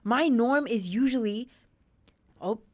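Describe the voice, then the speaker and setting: A woman in her thirties from Australia, a podcast guest telling her personal story candidly, with emphatic, animated retellings. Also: on the high side, slow pace. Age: 30s.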